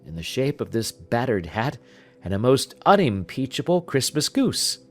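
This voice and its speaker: A man voicing a confident, analytical gentleman detective in an audiobook, speaking in a precise, measured, lecturing manner. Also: mid-range voice, sing-song, soft background music, lightly acted. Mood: matter-of-fact recounting. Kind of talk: audiobook character dialogue.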